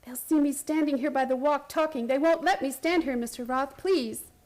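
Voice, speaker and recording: A high-pitched actress playing a woman patient in a radio play, haunted and obsessed. She recounts her strange story with theatrical intensity and an urgent, frantic edge. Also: normal speed, darkish tone, natural voice. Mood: pleading, urgent. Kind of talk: radio play acting, first-person dramatic narration.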